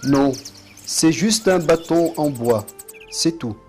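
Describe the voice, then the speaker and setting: A man's voice: fairly high pitch, darkish tone. Man playing a serene cartoon prophet, music behind. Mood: serene, firm. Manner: measured, solemn, instructive. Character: calm, pious male prophet, patient and wise. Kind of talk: dubbed religious cartoon acting. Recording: music under the voice.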